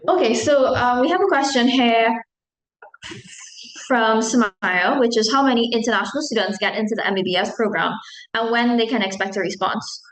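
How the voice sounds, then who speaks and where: A woman's voice: on the high side, normal speed. A young woman from Trinidad, a medical student, answering questions at an open-day panel in a chatty way with many ums. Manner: chatty, frequent ums, self-deprecating asides. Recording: echoey room.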